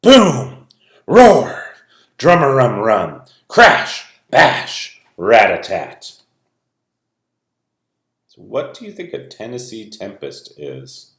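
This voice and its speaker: A man reading a rhyming children's story aloud in a dramatic, expressive way. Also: mid-range voice, drawn-out words, pauses often. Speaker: storybook reader. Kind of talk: animated children's read-aloud, rhyming narration. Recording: roomy, echoing sound.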